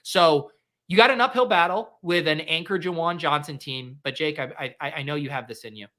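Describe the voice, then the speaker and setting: A nasal, fairly high-voiced man in his thirties hosts a loose, jokey chat. He slides from fast rambles into dry deadpan bits. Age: 30s. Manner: goofy, quick deadpan jokes. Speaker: host. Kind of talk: casual chat with a guest.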